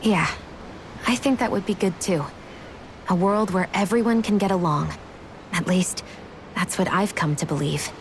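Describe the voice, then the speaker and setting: Actress as low-voiced reserved young woman. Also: normal speed, long pauses, slight background noise, lightly acted. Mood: pensive, guarded. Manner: reserved, reflective. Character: reserved, pensive young woman in fantasy game. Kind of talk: video game cutscene dubbing.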